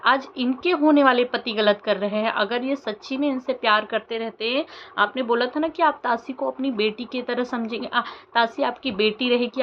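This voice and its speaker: A young woman vlogger giving earnest, emotional commentary to camera, firing off rhetorical questions with many fillers. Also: on the high side. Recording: dull lo-fi sound with faint background noise.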